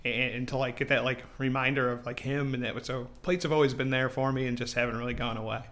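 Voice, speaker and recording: A man's voice: mid-range voice, steady tone, fast talker, fairly bright tone. American man in his late thirties, chatting casually. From Rochester, New York, United States. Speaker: podcast guest. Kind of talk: casual anecdotal podcast chat.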